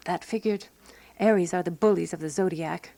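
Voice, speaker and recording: A woman playing a fussy, resolute amateur sleuth who narrates her own mystery in a radio drama, prim and measured. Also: on the low side, creaky, dark tone. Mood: measured storytelling.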